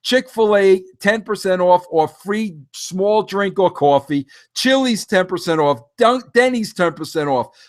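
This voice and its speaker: A man's voice: high-pitched, unhurried, few pauses. A man in his fifties hosting a podcast, talking casually and animatedly straight to his listeners, often rambling and restarting his sentences. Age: 50s. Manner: addresses listeners directly. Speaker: podcast host. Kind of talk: casual solo monologue.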